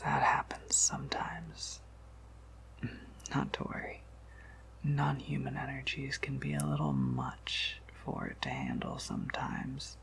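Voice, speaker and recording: A man voicing a gentle, wry vampire apothecary in a breathy ASMR whisper, musing softly and reassuringly. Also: medium pitch, full character voice. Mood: gently reassuring. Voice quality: breathy whisper with creaky edges. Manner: gentle, reassuring, hesitant musing with soft hums. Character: soft-spoken, patient, wry male vampire apothecary, collector of oddities.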